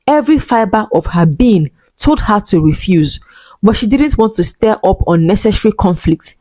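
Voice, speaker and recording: A female storyteller delivers scripted dramatic narration. Her pitch sits in the middle for a woman, and the recording is very dry, with no room sound. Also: lively intonation, moderate pace, warm tone, no room sound.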